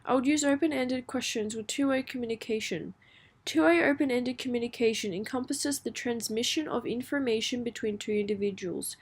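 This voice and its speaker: A woman reading a scripted passage aloud as a model answer for language exam practice. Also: fairly high pitch.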